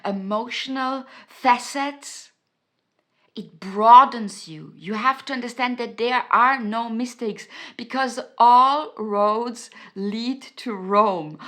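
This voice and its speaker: A woman's voice: fairly high pitch, sharp tone. A woman from Austria, a native German speaker with an Austrian German accent, giving a motivational talk to her viewers. She speaks earnestly and searches for words, often restarting her phrases. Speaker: YouTube host.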